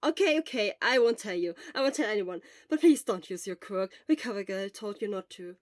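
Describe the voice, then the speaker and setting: A woman's voice: medium pitch, expressive, a little fast, no echo, studio quality, close to an everyday voice. A woman voicing a caring, earnest teenage boy, a loyal friend who talks emphatically and energetically, in an audio story. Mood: placating, concerned.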